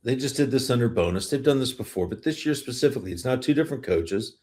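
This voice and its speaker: A man's voice: medium pitch, expressive, quick pace, darkish tone. A middle-aged man hosting a sports podcast, asking and reacting in a conversational interview style. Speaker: podcast host.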